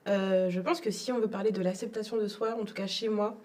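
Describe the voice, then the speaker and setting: A woman's voice: mid-range voice, steady tone, a little fast, a little mellow, recorded from a distance. A young adult woman from Togo, telling her personal story as an interview guest in a reflective, hesitant way. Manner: reflective, repeats words, frequent hesitations.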